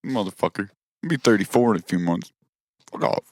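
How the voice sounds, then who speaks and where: A man's voice: lowish voice, fairly quick, a little mellow, no echo. A man in his thirties co-hosting a podcast, blunt and teasing, swearing often in casual banter. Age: 30s. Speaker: podcast co-host. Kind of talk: casual chat.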